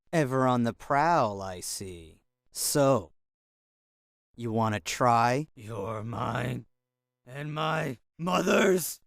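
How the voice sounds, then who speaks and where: A man's voice: mid-range voice, clear, resonant tone, no room sound, clean studio sound. A man voicing a brash, brooding young martial-arts fighter in a video game, with terse, cocky, dramatic delivery. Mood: brooding, determined. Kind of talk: fighting game character voice clips. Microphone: close dry studio recording.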